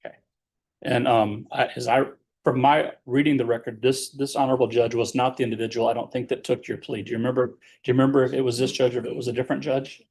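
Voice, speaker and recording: A man working as a defense attorney, questioning his client in court in a measured, explanatory way with leading questions. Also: medium pitch, level tone, a little mellow, husky.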